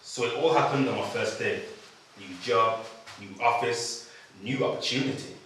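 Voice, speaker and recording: An actor playing a wry, philosophical pink elephant who narrates a film script in a measured manner. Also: male voice, medium pitch, a bit bright, slight background noise, natural voice. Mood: storytelling. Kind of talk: scripted storytelling at a table read. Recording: very echoey room, far from mic.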